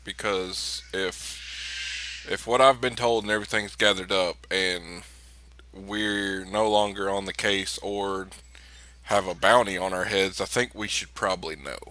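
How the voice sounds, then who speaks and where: A practical investigator on a starship crew played by a man in a tabletop game, folksy and deliberate. The voice is fairly low, with a bright, forceful edge. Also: steady tone. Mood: firm, concerned. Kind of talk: improvised tabletop role-play.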